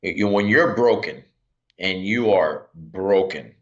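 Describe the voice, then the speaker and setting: A man in his forties from Miami, telling his story earnestly in an interview, often restarting his sentences. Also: deep voice. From Miami, USA. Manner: earnest, halting, many restarts. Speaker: podcast guest. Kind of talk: reflective interview talk. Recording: dull lo-fi sound.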